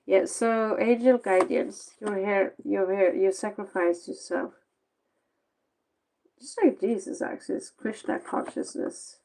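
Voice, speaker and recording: A woman's voice: medium pitch, fairly even intonation, measured pace, gravelly, mellow, poor audio. A Norwegian-accented woman, a native Norwegian speaker, giving a casual late-night tarot reading in a blunt, sarcastic, rambling way, sounding tired. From Norway. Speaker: tarot reader.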